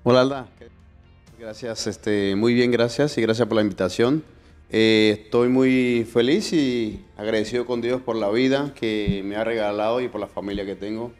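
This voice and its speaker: A man's voice: lowish voice, fairly even intonation, normal speed, big-room sound, soft background music. A young man from Guatemala, a guest sharing his faith testimony, who speaks hesitantly with many fillers. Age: young adult. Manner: hesitant, many "este" fillers, soft-spoken. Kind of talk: testimony interview.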